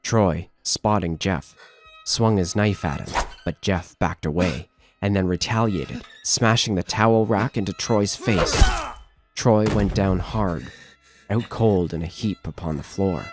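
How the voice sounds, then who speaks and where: A man narrating a scripted horror story in a measured, dramatic storytelling manner. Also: low-pitched. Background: music.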